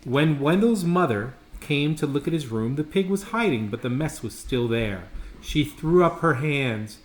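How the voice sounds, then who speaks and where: A man reading a children's picture book aloud in a friendly, informal way with deliberate phrasing. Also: a little high, expressive. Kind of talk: read-aloud storytelling with casual asides. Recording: echoey room with faint background noise.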